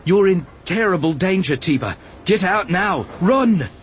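Man voicing a desperate, commanding warrior shouting warnings. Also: sits high, lively intonation, unhurried, a little hiss or hum, voice pushed up. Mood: urgent, desperate. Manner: urgent, forceful shouting. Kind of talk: dramatised audiobook dialogue.

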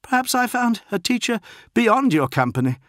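A man's voice: fairly high pitch, expressive, normal speed, dry recording, clean studio sound, pitched above the performer's own voice, close to an everyday voice. A man voicing an arrogant young warrior acolyte in a science fiction audiobook, insolent and taunting with fanatical belief. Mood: mocking.

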